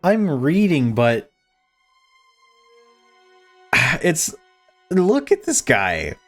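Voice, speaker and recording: A man's voice: a little high, huge pitch swings, extremely slow. A man reading visual novel narration aloud and breaking off for casual commentary. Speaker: gaming video maker. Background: background music.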